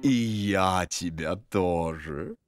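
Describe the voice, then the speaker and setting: A cartoon double bass, a fussy and aggrieved husband with theatrical airs. His voice sits fairly low and he draws his words out very slowly, grumbling grandly. The sound is dry and close. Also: dry recording, soft background music. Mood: delighted. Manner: theatrical, grumbling, drawn-out delivery. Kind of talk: animated cartoon voice acting.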